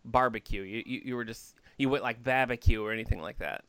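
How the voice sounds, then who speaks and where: A man's voice: medium pitch, quick pace, a bit bright, clear, resonant tone. A man hosting a podcast, chatting casually and jokingly with his co-hosts, often laughing and restarting his phrases. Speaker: podcast host.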